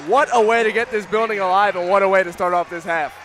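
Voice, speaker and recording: A man's voice: high voice, quick pace. A man giving enthusiastic, informal color commentary on a live basketball radio broadcast, projecting over the noise. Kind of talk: conversational sports analysis. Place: courtside in a basketball arena. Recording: loud background noise of a gym. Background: arena noise, bouncing ball.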